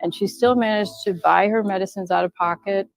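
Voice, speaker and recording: A woman's voice: medium pitch, steady tone, fairly quick, vocal fry, dry recording, rough recording. A woman clinician in a documentary clip, telling a patient's story with admiration.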